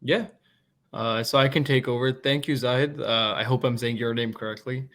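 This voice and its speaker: A man in his twenties, a podcast guest and product manager, talking in a relaxed, chatty way. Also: medium pitch, moderate pace. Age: 20s. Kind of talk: casual interview talk.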